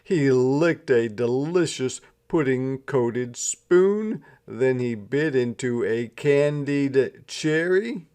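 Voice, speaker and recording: A man reading a children's story aloud as an expressive storyteller, with deliberate, dramatic phrasing. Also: medium pitch, clean tone. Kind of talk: expressive scripted storybook narration.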